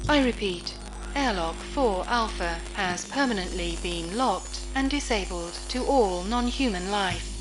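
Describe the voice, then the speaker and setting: A woman voicing a calm automated station computer, making measured, formal announcements in a sci-fi audio drama. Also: mid-range voice, poor audio.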